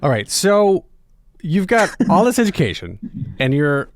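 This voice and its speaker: A man's voice: on the high side, very animated, a little slow, a little hiss or hum. A man hosting an interview podcast, chatting casually and responding in an affirming, informal way.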